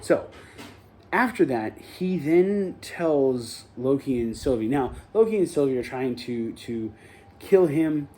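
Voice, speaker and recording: Mellow-voiced man, loose rambling recap. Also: mid-range voice, expressive, slow. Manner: rambling, loose, self-correcting delivery. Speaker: show host reviewing a TV episode. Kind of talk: casual spoken recap and review.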